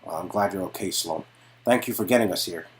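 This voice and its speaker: A man's voice: deep voice, fast, close to an everyday voice. A man playing an earnest, protective young boyfriend in a story game. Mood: warm, earnest.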